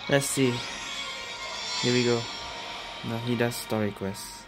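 A woman's voice: a bass voice, steady tone, slow. A woman giving casual, amused gameplay commentary as a game streamer. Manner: amused, often laughing and echoing game lines. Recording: game music behind the voice.

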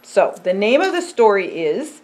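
A woman in her sixties who is a pastor, reading a picture book aloud in simple, deliberate phrases and explaining it as to a child. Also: medium pitch, very animated, darkish tone. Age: 60s. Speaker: pastor and storytime reader. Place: indoor storytime set by a fireplace.

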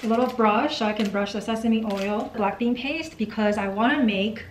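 A woman's voice: on the high side, moderate pace, background noise. A woman in her twenties chatting casually in a lifestyle vlog. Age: 20s. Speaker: vlogger. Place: indoors in apartment rooms. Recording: echoey room, music underneath.

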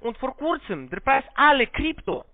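A non-native speaker, a man with a foreign accent and halting, broken grammar, giving a phone sales pitch. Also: big pitch swings, no room sound. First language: not German. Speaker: call-centre investment salesman. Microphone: telephone. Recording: muffled band-limited phone line.

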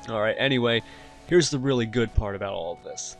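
Man narrating a step-by-step software tutorial. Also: on the low side, fairly quick, soft background music. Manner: instructional, step by step. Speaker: tutorial host. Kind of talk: software tutorial narration over screen recording.

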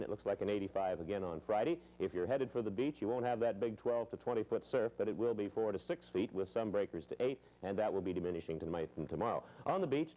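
A man's voice: lowish voice, dark tone, rough recording. A middle-aged American man presenting a 1970s local TV weather report in a wry, wordy manner. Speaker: TV weather forecaster. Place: television studio at a weather map.

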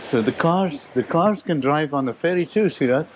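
A man in his sixties chatting casually as host of a travel vlog, in a halting way that repeats phrases. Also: fairly high pitch. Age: 60s. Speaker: vlog host, roofing contractor. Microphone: clip-on lapel mic. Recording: noisy on-location vlog audio.

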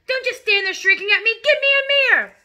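A woman voicing a frantic, vain, hot-tempered father who yells and wails as part of a story reading. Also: very high voice, quick pace, pitched above the performer's own voice, heavily acted. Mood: angry. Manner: yelling, wailing, panicked exclamations.

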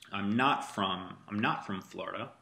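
A man's voice: fairly low pitch, vocal fry, pushed and bright. A man teaching a student Arabic in a one-on-one lesson, patiently prompting him and repeating his praise.

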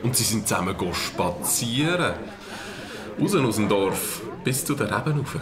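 Actor doing gossipy swiss village guy in a radio play, lowish voice, crowd behind him. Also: sing-song, a little slow. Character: gossiping village man from Stäfa.

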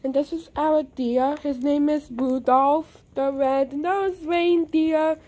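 A young girl casually narrating a tour of her game world, naming each character one by one with halting restarts, recorded as a voice-over. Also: sits high, steady tone, warm tone. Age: under 10. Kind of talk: casual show-and-tell narration. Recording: music playing behind.

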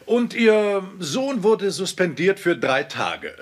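An actor in a German sitcom dub playing a stern, condescending school principal who lectures in a formal, pompous manner. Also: male voice, sits high, sing-song, takes it slowly, heavily acted.

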